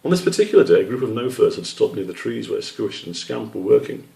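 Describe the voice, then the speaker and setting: A man narrates a children's story in a low voice with a gravelly edge. He reads as the author of the book, recorded at some distance from the microphone. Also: animated, fast, mellow. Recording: roomy echo, distant microphone.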